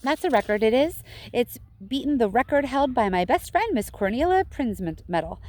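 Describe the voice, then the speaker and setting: A woman voicing a brash, chatty, excitable and boastful gum-chewing girl in a children's storybook. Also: on the high side, normal speed, pitched above the performer's own voice, natural voice. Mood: gloating, excited. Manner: chatty, self-satisfied, excitable. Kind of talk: children's storybook read-aloud.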